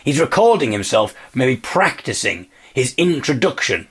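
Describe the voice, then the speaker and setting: A man with a slightly husky voice hosting a podcast, telling a story conversationally with enthusiastic, emphatic delivery and dramatic repetition. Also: medium pitch, sing-song, moderate pace, a little hiss or hum. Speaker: podcast host.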